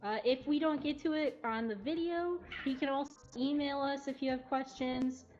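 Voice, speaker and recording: Woman livestream host, lightly high voice. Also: fairly even intonation, moderate pace, a little mellow. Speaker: cat cafe staff presenter. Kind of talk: casual livestream presenting. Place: indoor cat cafe. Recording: dull lo-fi audio, faint music. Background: faint background music.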